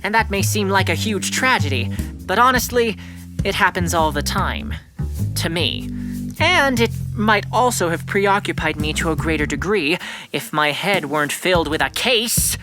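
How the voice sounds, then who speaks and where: A woman's voice: on the low side, animated, normal speed, lightly acted. A woman playing a schoolboy detective, dramatic and exasperated, in a scripted audio drama with music behind. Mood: dramatic, self-important. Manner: melodramatic, self-important narrator. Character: schoolboy detective, dramatic, exasperated son narrating his case.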